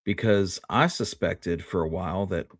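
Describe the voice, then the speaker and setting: Low-voiced man, halting conversational historian. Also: no echo, studio quality. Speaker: history show co-host. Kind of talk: casual storytelling discussion.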